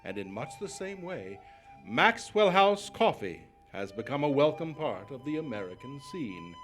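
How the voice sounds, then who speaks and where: A man's voice: medium pitch, sing-song, normal speed, faint music behind, lightly acted. A man playing a polished, enthusiastic 1940s-style radio announcer, declamatory and emphatic. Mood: smooth, selling. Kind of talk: 1940s radio advertising and narration.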